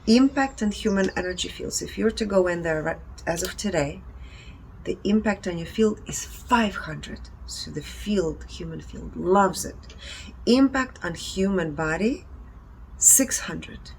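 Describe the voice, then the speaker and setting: A woman speaks in a fairly low voice with a marked creak. She presents readings and reflections earnestly, in short emphatic phrases, over faint background ambience. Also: animated, a little slow, slight background noise, poor audio. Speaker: presenter reporting energy readings. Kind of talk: presentation reading out findings with personal commentary.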